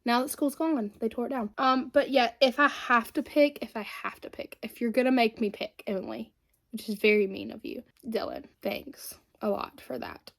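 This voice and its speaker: A woman's voice: fairly high pitch, fairly even intonation, normal speed, gravelly. A woman, chatting casually and rambling while she does her makeup. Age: 20s. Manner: chatty and rambling, many fillers. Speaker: beauty vlogger.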